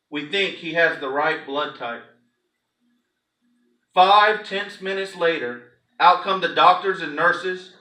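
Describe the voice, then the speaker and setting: A man's voice: high-pitched, bright, forceful tone. A man, a pastor, giving a theatrical, emphatic dramatic reading of a story to a congregation. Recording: echoey room, distant microphone.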